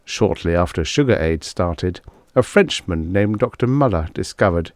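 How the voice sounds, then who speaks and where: A man with a low voice narrates a vintage story as an audiobook. His delivery is measured and formal. The recording is close, with no room sound at all. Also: lively intonation, a little mellow, no echo. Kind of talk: scripted narration of vintage science fiction stories.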